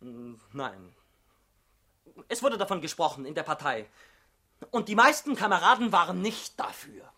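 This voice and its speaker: A man's voice: high-pitched, very animated, measured pace, long pauses, sharp tone, heavily acted. A male actor playing a young, uncompromising party idealist in a radio drama, earnest and challenging. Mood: quietly defiant. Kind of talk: theatrical radio drama acting.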